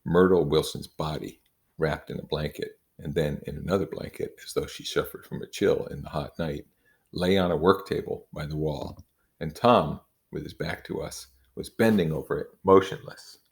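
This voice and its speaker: A man with a low, creaky voice reads literary fiction aloud. His delivery is measured and informal, with some phrases given extra weight. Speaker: reader. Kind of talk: reading a novel aloud with informal comments.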